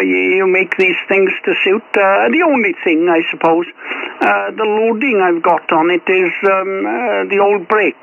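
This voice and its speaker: Tinny shortwave radio, high-pitched hesitant man. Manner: rambling, hesitant, understated. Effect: voice over shortwave radio. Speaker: amateur radio operator. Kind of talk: casual radio chat between hobbyists. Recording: tinny, phone-like shortwave radio, clear static.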